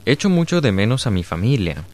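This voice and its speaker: A woman learning a language, asking questions and repeating words slowly and carefully. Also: extremely low pitch, big pitch swings, a little mellow. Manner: slow, careful repetition.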